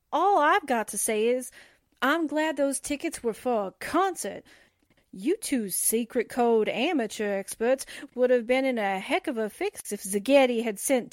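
A woman playing a wisecracking, folksy woman friend who is a little slow to catch on, in a 1940s radio drama style. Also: sits high, dry recording, clean studio sound.

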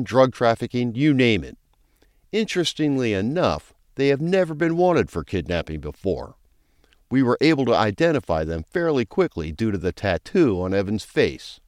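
A man's voice: mid-range voice, big pitch swings, moderate pace, darkish tone, no echo, clean studio sound, close to an everyday voice. A man playing a brusque, foul-mouthed, sarcastic police chief in a crime audio drama. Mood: businesslike. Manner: brusque, commanding, crude briefing delivery.

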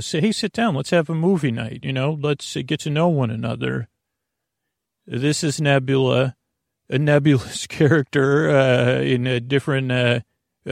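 A man hosting a sleep podcast in a gravelly voice, with a meandering, stammering, reassuring bedtime monologue that keeps losing its thread. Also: medium pitch, no echo, clean studio sound. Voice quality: creaky, gravelly.

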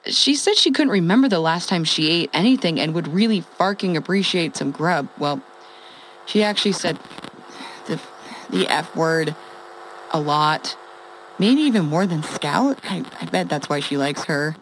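A wry, foul-mouthed woman survivor in an audio drama. Her voice sits slightly low and swings widely in pitch. She talks in a halting, conversational way, like a former radio host sending out a log. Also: expressive, a little hiss or hum, rough recording. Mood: wry, amused. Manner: wry, halting, emphatic, swears casually. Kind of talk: conversational comedic monologue, as a ham radio log.

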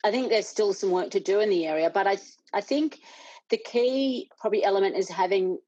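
A woman's voice: mid-range voice, a little fast, warm tone, no room sound, highs cut off. A middle-aged Australian woman, a parent and board member, speaking earnestly in an interview with many restarts. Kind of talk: conversational interview.

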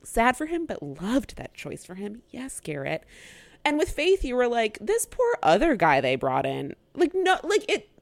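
A woman's voice: a little high, huge pitch swings, unhurried, gravelly, no echo. A young woman co-hosting a podcast, chatting casually and animatedly, swearing freely and acting out what people said. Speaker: podcast co-host. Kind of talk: casual chat recapping a reality show.

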